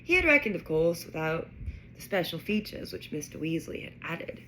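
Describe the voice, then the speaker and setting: Woman putting on a low British storybook narrator. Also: big pitch swings, moderate pace, noisy background. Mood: storytelling. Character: British storybook narrator reading a wizard school tale. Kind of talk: scripted narration.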